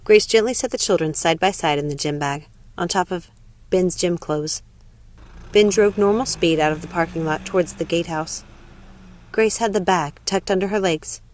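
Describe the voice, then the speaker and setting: A woman narrating a novel aloud in scripted audiobook style. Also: fairly low pitch. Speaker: narrator, story reader.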